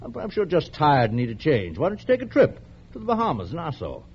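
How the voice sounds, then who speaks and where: An actor playing a cold, condescending wealthy husband in mid-century radio drama, patronising and dismissive. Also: male voice, on the high side, wildly swooping pitch, fairly quick, husky, heavily acted. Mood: mocking, patronising. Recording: dull, noisy old radio recording.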